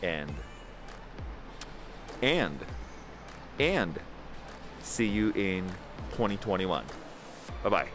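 Slow, low-voiced man vlogging on camera. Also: big pitch swings, music in the background. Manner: halting, with many fillers and restarts. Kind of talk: casual vlog chat. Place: outdoors and in a car. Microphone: handheld camera at arm's length.

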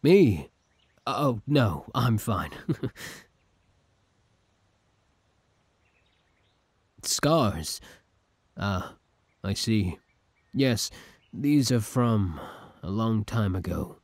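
A man's voice: fairly low pitch, lively intonation, drawn-out words, pauses often, darkish tone, breathy edge, no echo, exaggerated voice. A young man playing an obsessive, scheming villain who feigns kindness, in an intimate, theatrical audio roleplay. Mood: wistful, guarded. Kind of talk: ASMR audio roleplay, intimate dramatic acting.

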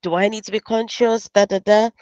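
A woman's voice: medium pitch, steady tone, a little mellow, clean tone, no room sound. Brief: young Nigerian woman, Nigerian accent, candid panelist, dry muffled headset audio. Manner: candid, reflective, brisk asides. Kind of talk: webinar panel answer. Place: home office, virtual background. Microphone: headset mic on video call. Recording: dull dry video-call audio.

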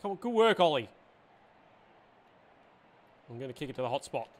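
A young man with a hoarse voice, reacting excitedly and yelling while playing a football video game. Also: a little high, moderate pace. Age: young adult. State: hoarse, says he lost his voice. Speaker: gaming YouTuber. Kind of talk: reactive gameplay commentary. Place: indoor gaming room, seated in gaming chair. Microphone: headset, facecam.

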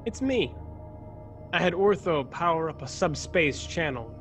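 A young man playing a resolute, self-sacrificing starship ensign in a science-fiction radio play, speaking formally and with measured phrasing. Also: fairly high pitch, expressive, fairly bright tone, faint music behind. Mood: resigned. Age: young adult. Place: indoors at home, video call. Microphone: desk condenser microphone, close.

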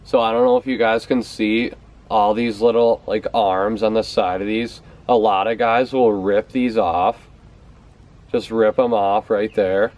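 A man's voice: fairly low pitch, a little mellow. A young man talking casually to camera on a porch outdoors, showing his favorite fishing baits and often repeating words for emphasis. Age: young adult. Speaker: fishing vlogger. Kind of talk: casual product talk to camera. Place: outdoors on a house's back porch steps.

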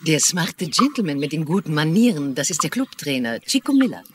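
A woman's voice: sits low, very animated, fairly quick. A dubbing actress playing a wry, affectionate middle-aged woman in a TV crime drama. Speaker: dubbing actor. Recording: faint background noise.

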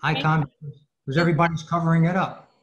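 Halting man presenting in an echoey room. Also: a little high, flat delivery, fairly bright tone, highs cut off. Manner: halting, hesitant. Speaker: club presenter. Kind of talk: halting informal lecture over slides. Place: indoors on a video call. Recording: roomy video-call audio.

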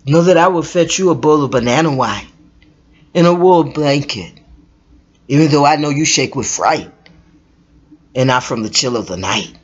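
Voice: mid-range voice, animated, normal speed, pauses often, husky, lo-fi sound. A storyteller voicing a caring, motherly woman who speaks in a soothing, reassuring way.

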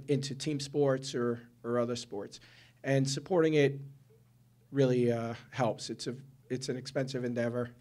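A middle-aged man, a parent, giving an earnest, halting public comment with many pauses at a meeting in a large room. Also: medium pitch, darkish tone.

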